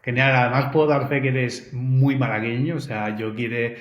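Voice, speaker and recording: A man's voice: mid-range voice, fairly even intonation, normal speed, lo-fi sound. A man hosting a podcast who asks questions in a friendly, hesitant way, with many fillers. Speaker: podcast host. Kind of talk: casual interview. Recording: roomy echo.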